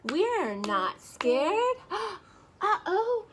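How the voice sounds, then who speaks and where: A woman with a very high voice reads aloud to young children in an expressive, sing-song way. Her pace is slow and her delivery is highly animated, with dramatic gasps. She is recorded outdoors with some ambient noise behind her.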